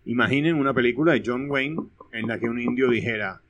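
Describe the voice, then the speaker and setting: A man's voice: mid-range voice, a little fast, fairly bright tone, lo-fi sound. Venezuelan man narrating a language lesson. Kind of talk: scripted educational narration.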